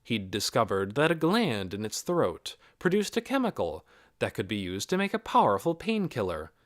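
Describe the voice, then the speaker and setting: Male narrator for fiction audiobook, medium pitch, lively melodic range, very dry recording. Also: no room sound.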